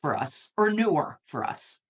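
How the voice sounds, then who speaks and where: A woman's voice: low-pitched, sing-song, measured pace, breathy edge. A middle-aged American woman giving earnest, explanatory answers as an interview guest. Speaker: university dean, interview guest.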